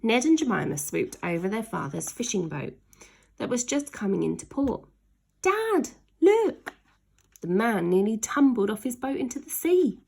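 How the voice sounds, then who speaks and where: A woman reading a children's book aloud as an expressive storyteller. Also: medium pitch, normal speed, vocal fry, breathy edge.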